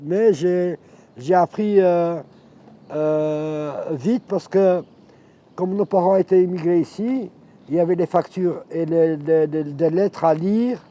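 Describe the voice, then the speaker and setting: A man in his fifties from Morocco, an Arabic speaker, telling his life story in a reflective, halting way. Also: sits high, dark tone. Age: 50s. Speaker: interviewee. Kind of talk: personal life testimony.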